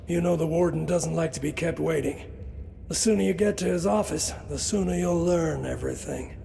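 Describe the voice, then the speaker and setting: Casting: bossy, chatty fantasy game jailer, high creaky voice, over noisy ambience. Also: male voice, warm tone. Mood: chatty, gossiping. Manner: brisk, gossipy. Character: Bastian, bossy chatty prison guard man in fantasy. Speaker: prison guard escorting a prisoner. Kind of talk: fantasy video game voice acting.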